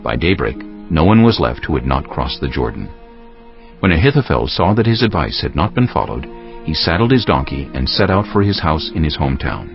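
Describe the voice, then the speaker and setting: A man narrating scripture as a dramatic audio production, in a solemn, deliberate storytelling manner. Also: very deep voice, big pitch swings, vocal fry, dull audio. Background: music.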